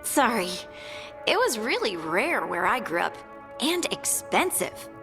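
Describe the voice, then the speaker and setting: A woman's voice: on the high side, big pitch swings, unhurried, in a large hall, over music. An actress playing an eager-to-please young dwarven woman in a video game, speaking hesitantly and apologetically. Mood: earnest. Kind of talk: video game cutscene acting.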